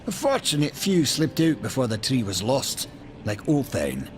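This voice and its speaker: A boastful, chatty maker. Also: male voice, medium pitch, huge pitch swings, moderate pace. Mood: wry. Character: boastful male maker, wry and talkative. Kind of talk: video game cutscene acting. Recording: game score behind.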